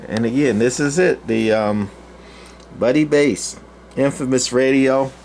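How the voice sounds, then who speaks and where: A man's voice: mid-range voice, vocal fry, darkish tone. Older man, folksy, over noisy room sound. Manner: rambling, folksy, halting with long pauses. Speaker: presenter, CB radio collector. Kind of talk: casual unscripted equipment demo.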